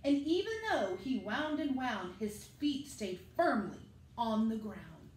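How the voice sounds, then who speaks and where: Animated, high-voiced woman reading to children. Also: takes it slowly. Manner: animated, addresses children directly. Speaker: school teacher reading to pupils. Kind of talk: children's read-aloud, picture book storytelling. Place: living room at home, indoors. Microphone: camera a few metres away. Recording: echoey room with faint noise.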